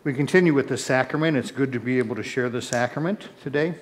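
A middle-aged male pastor intones prayers from the altar of a large church sanctuary. His voice sits at an ordinary pitch, steady and solemn. It is picked up by a far camera and an altar mic. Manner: measured, solemn intoning, rising in the preface. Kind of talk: liturgical prayer and chanted-style preface.

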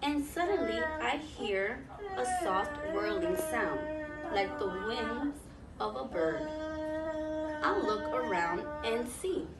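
Slow-paced woman reading to young children. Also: fairly high pitch, animated, over loud music. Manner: pauses to ask listeners questions and explain. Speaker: volunteer children's story reader. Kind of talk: picture-book read-aloud to young children. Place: small classroom, indoors, seated on floor. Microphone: camera a few metres away. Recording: roomy, distant mic, noticeable background noise.

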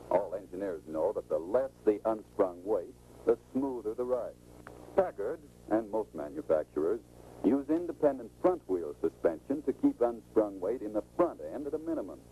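Dark-toned 1940s American training film narrator, male. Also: on the low side. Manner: instructive, salesmanlike presentation. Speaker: automotive expert presenting a sales training film. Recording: noisy, muffled 1940s film soundtrack.

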